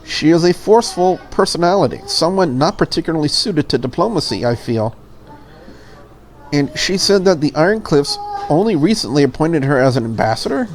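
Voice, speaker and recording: Visual novel maid, voiced by a woman: courteous, deferential, quietly curious, measured wording with animated intonation. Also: sits low. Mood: curious, musing. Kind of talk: visual novel character read aloud.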